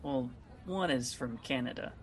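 A man playing an earnest, deadpan monster truck owner in a comedy sketch, speaking rather formally. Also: mid-range voice, unhurried, husky.

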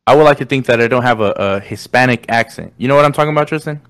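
A man's voice: mid-range voice, rapid-fire, crowd noise. Slangy young man hosting a podcast. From Mexican American. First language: Spanish. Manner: slangy, says "you know what I'm saying" a lot, animated. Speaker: podcast host. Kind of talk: casual podcast chat.

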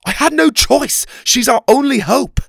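A man's voice: fairly high pitch, expressive, unhurried, vocal fry, dry recording, clean studio sound, voice pushed up, full character voice. A man voicing an earnest, desperate fairy soldier in a storybook, pleading with urgency.

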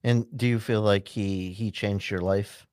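A man hosting a podcast interview who asks his questions haltingly, with many pauses and restarts. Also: lowish voice, fairly even intonation, a little mellow, clean tone, no echo, clean studio sound. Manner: hesitant, many fillers and restarts when asking questions. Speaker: podcast host.

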